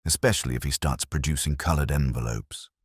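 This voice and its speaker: A man's voice: extremely low pitch, a little fast, breathy edge, no echo, studio quality. A man voicing an irritable, weary, suspicious police superintendent in a story, curt and grumbling. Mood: grumbling warning.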